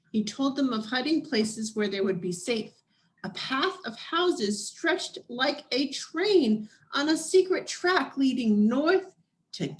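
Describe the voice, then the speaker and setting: A woman reading a children's picture book aloud as scripted narration, in deliberate, measured phrases with dramatic pauses. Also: a little high, poor audio. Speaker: storybook reader.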